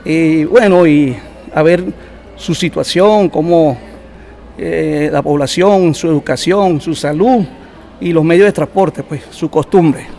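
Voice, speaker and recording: A man from the Rupununi region of the Essequibo answering as an interview guest, in a hesitant, halting and informal way. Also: a little high, warm tone. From Rupununi region of the Essequibo, Guyana.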